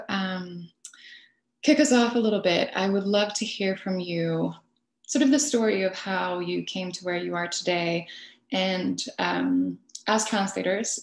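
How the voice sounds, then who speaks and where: A woman's voice: medium pitch, creaky, roomy sound. A woman chairing a video call panel, reading introductions from notes with frequent fillers. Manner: reads from notes, hesitant fillers. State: toothache.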